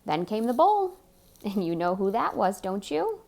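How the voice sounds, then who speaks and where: Animated woman reading a picture book aloud. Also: medium pitch, measured pace, creaky. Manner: expressive, chatty, asks the listener questions. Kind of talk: animated read-aloud storytelling for young children, with asides to the listener.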